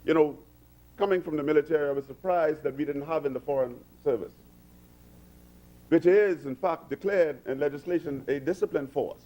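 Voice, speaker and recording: A man's voice: on the high side, moderate pace, warm tone, poor audio. A man from the Bahamas with a Bahamian accent, speaking as a minister in parliament, earnest and oratorical, with frequent restarts. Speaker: government minister addressing parliament. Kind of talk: parliamentary speech. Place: legislative chamber.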